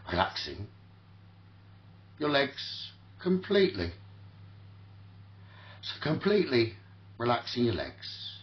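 Slow man guiding sleep meditation, dull recording. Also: mid-range voice. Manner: soothing, repetitive instruction in short phrases with long pauses. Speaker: guided meditation host. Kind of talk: guided sleep meditation, spoken relaxation talkdown.

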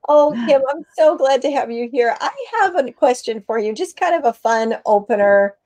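A woman's voice: high voice, normal speed, a little mellow. A woman hosting an interview podcast, speaking in an enthusiastic, effusive way. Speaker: podcast host.

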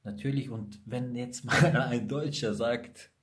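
A man talking in a relaxed conversation, blunt and emphatic. His voice sits at an ordinary pitch, and he tells long stories with energy. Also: unhurried. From Italy. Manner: emphatic, blunt, animated storytelling. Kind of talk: casual conversation.

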